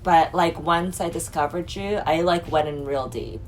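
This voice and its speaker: A woman's voice: deep voice, normal speed, poor audio. A woman in her thirties hosting a casual chat in a hotel room, playful and chatty with lots of fillers. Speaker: host.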